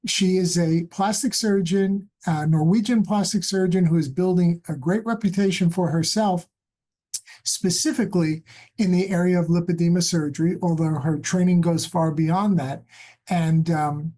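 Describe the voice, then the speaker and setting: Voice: medium pitch, fairly even intonation, moderate pace, mellow. A podcast host introducing a guest and asking long, rambling questions with many fillers. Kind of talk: interview hosting.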